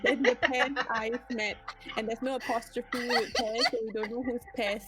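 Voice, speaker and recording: A woman's voice: a little high, fairly even intonation, moderate pace, noisy background. A giggly woman reading a story comically on a stream, breaking off to make asides. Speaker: streamer reading fan fiction aloud.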